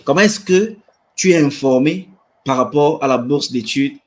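A man's voice: medium pitch, animated, moderate pace. A man, hosting a casual livestream on a video call with enthusiasm and repeating phrases for emphasis. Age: 20s. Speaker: livestream host. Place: indoor room with wood-panelled wall. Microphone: webcam video call.

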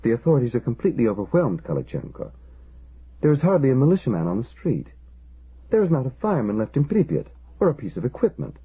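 A man's voice: medium pitch, wildly swooping pitch, fast talker, slightly rough, pitched above the performer's own voice, exaggerated voice. A man voicing a frightened, hesitant middle-aged storekeeper who pleads anxiously and insistently. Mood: pleading. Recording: dull lo-fi recording.

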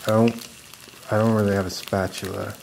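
A man in his thirties doing an ASMR roleplay as an unstable, friendly loner, soft-spoken and rambling in halting mutters. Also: on the low side, level tone, vocal fry, noisy background. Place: basement-like room with concrete walls.

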